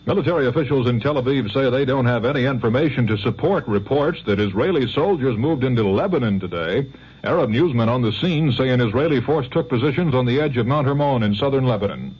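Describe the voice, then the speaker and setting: A man reading the hourly news as a network anchor, in a crisp, formal delivery. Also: mid-range voice, expressive, quick pace. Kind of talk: newscast reading. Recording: dull 1970s radio broadcast with faint hum.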